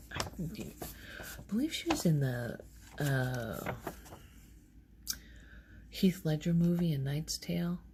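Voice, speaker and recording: A woman hosting an ASMR video, rambling casually in a soft, hushed voice that often drops into a whisper. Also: sits low, gravelly. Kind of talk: soft-spoken rambling ASMR show-and-tell. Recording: loud background noise.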